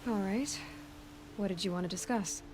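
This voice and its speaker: An actress playing a composed, direct young tracker heroine in a video game, speaking in a matter-of-fact, guarded way. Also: female voice, mid-range voice, moderate pace, noisy background, close to an everyday voice. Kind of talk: video game character acting.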